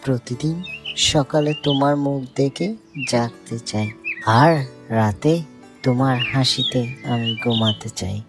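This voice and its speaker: Woman as male songbird, very low voice, devoted, generous, earnest, tender, cartoon dialogue, music behind. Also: fairly even intonation, unhurried. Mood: tender, romantic. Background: music and birdsong.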